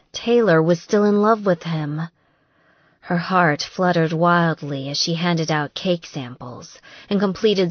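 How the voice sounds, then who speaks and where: A woman narrating a romance novel in an expressive, emotive way, as for an audiobook. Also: lowish voice, no room sound, muffled recording. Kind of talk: scripted romance novel narration with acted dialogue.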